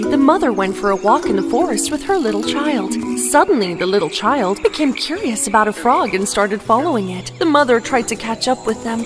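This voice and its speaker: A woman reading a children's bedtime story aloud in a gentle, expressive way over background music. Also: fairly high pitch. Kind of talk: scripted bedtime story narration.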